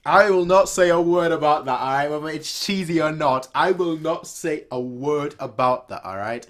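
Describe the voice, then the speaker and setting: A man's voice: fairly high pitch, normal speed. A young man giving casual, off-the-cuff reaction commentary as a host, repeating phrases for emphasis. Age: young adult. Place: small room indoors.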